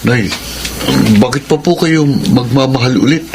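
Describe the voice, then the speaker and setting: A man's voice: medium pitch, measured pace, noisy background, close to an everyday voice. A man playing a respectful, questioning young son in a radio drama, with a Filipino accent. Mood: wistful, questioning.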